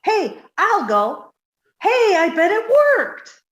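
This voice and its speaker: Lady doing a dim sweet young woman, very high voice, old radio comedy style, echoey room. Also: sing-song, slow pace, fairly bright tone, dull audio, full character voice. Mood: innocent, eager. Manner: innocent, earnest, scatterbrained. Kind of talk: 1950s radio comedy acting.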